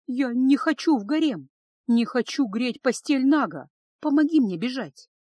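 Audiobook role: scared, tearful eighteen-year-old captive girl played by a woman, pleading, raised pitch, dry close sound. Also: animated, normal speed, a little mellow, no room sound. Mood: desperate pleading. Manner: pleading, breathless, sobbing.

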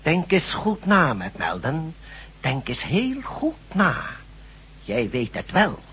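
A man acting in a radio drama as a smug, menacing god posing as a doctor, deliberate and condescending. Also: a little high, huge pitch swings, a bit bright, husky, muffled recording, full character voice. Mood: probing, condescending.

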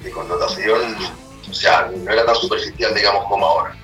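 A middle-aged man from Spain with a rough, cracked voice, talking casually as a rock singer being interviewed, rambling with many restarts and tag questions. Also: fairly low pitch, brisk, sharp tone, faint music behind, poor audio.

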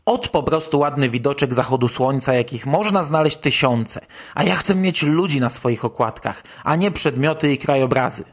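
A man's voice: medium pitch, lively intonation, normal speed. A Polish man hosting a podcast, commenting on news in a conversational, wry way. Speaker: podcast host.

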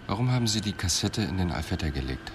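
An actor playing a calm police detective confronting a suspect in a radio play, measured, probing and restrained. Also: male voice, low voice, noisy background. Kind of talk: 1990s German radio play acting.

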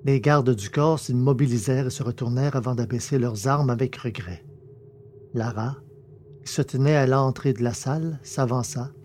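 A man reads out an adventure story in a measured, dramatic storytelling manner. His voice is dark and mellow at a middle pitch. A faint hiss sits under the recording. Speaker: narrator. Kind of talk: scripted audiobook narration.

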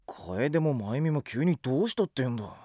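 Animated man voicing a high school boy, casual, blunt, kind-hearted, wide intonation, anime audio drama. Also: a little fast, dry recording, studio quality, close to an everyday voice. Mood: puzzled, exasperated. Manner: blunt, informal boyish speech.

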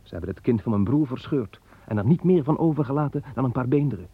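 A man's voice: medium pitch, animated, quick pace, breathy edge. A man playing a weary, hardworking son of the first man, talking bluntly and plainly in an old radio play. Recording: tinny old radio play recording with faint hiss.

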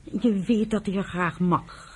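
A woman playing a fair-minded, middle-aged mother in a Dutch radio drama, husky and gently reproachful. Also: medium pitch, measured pace. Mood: gentle reproach.

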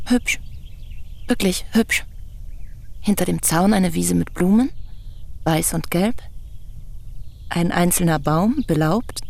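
A woman's voice: on the low side, slow pace, vocal fry, long pauses, darkish tone. An actress playing a bold, talkative woman visitor in a German radio play, articulate, insistent and teasing. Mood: chatty, teasing.

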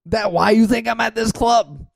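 A man playing an ancient, warty, chain-smoking old woman in an improv comedy scene, loud and grumbling about the old days. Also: sits high, moderate pace, gravelly, dry recording, clean studio sound, heavily acted. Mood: grumpy.

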